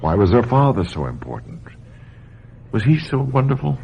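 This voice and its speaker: A man's voice: mid-range voice, very animated, normal speed, vocal fry, a little mellow. A man acting an earnest, caring doctor in a radio drama, with a grave, intense manner. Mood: grave, concerned. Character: earnest, caring male doctor in love with his patient. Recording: muffled, noisy old radio drama recording.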